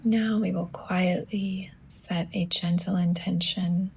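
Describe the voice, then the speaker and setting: A woman yoga teacher leads a guided meditation in a hushed, very breathy voice close to a whisper. Her pitch sits a little low and stays very even, with some soft creak. She gives gentle, soothing instructions in short phrases with long pauses.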